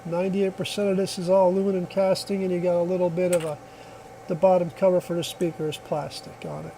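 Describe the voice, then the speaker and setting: A man's voice: high-pitched, little pitch movement, quick pace, gravelly, warm tone, a little hiss or hum, rough recording. A man giving a casual hands-on product demonstration in a plainspoken, folksy, reassuring way, recorded on a phone.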